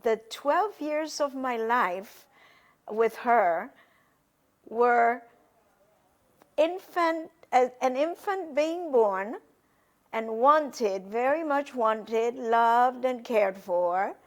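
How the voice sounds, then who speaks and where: An older woman whose first language is Polish, recalling her past slowly and hesitantly in an oral history interview. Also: a little high, animated. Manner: slow, hesitant, reflective, many pauses and fillers. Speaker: interviewee, testimony witness. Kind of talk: recorded oral history testimony.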